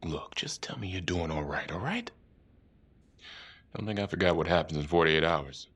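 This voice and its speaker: A supportive, gruff police colleague in a cinematic game. The voice is extremely deep and rasps huskily at a quick clip. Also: male voice, lively intonation, a bit bright, heavily acted. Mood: concerned. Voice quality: husky. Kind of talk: cinematic video game acting.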